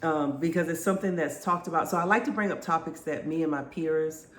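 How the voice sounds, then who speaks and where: A woman's voice: deep voice, brisk. A woman in her fifties, congested and stuffy-sounding, chatting casually to her viewers and telling stories with frequent laughs. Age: 50s. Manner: chatty, laughs often, lively storytelling. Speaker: vlogger. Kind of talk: casual chat to camera. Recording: echoey room, faint background noise.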